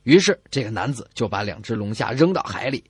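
A man hosting a comedy radio show, telling jokes in an animated back-and-forth style. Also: on the low side, brisk, breathy edge, dry recording, clean studio sound. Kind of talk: animated joke telling with co-host.

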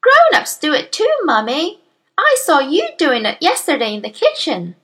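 A woman's voice: high-pitched, wildly swooping pitch, normal speed, fairly bright tone, voice pushed up, heavily acted. A woman voicing a clever little girl in a storybook, cheekily polite and mock-innocent. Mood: cheeky. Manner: mock-innocent, matter-of-fact.

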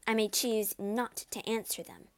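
A woman's voice: medium pitch, a little slow, no room sound, lightly acted. A woman voicing a spirited, witty young woman who speaks in a firm, composed way, close to her own voice. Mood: defiant.

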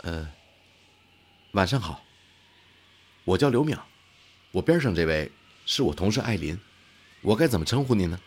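Low-voiced, laid-back young researcher played by a man. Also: sing-song, moderate pace, pauses often, slightly rough, exaggerated voice. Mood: polite, tentative. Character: Liu Miao, laid-back curious male night-shift astronomy researcher. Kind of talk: audio drama dialogue.

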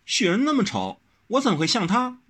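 A man's voice: high-pitched, huge pitch swings, normal speed, fairly bright tone, slightly rough, pitched above the performer's own voice, full character voice. A man voicing a headstrong, pouty young swordswoman in an audiobook, whiny and insistent. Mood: pouting. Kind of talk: audiobook character reading.

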